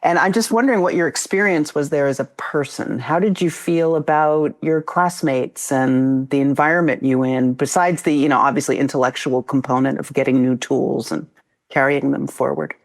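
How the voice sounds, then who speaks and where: Low-voiced woman host, musing. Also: moderate pace. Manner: musing, wry asides. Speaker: podcast co-host. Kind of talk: interview question.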